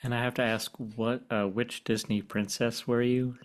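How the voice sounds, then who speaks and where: A man's voice: medium pitch, little pitch movement, normal speed. A man hosting a podcast, asking questions in a conversational, slightly tentative way. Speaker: podcast host. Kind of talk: conversational interviewing.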